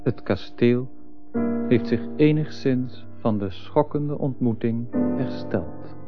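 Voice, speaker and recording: A man giving a measured, deliberate scripted introduction to a radio drama as narrator. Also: mid-range voice. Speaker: announcer, narrator. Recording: dull lo-fi radio recording. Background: loud piano music.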